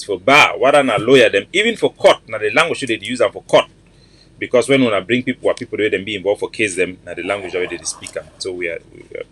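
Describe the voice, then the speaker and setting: A man's voice: lowish voice, fairly bright tone, dry recording. A man in his forties with a Cameroonian Pidgin English accent, hosting an online show at home in earnest, repetitive, emphatic talk into a webcam. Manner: earnest, repetitive, emphatic, greets viewers by name. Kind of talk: casual livestream address.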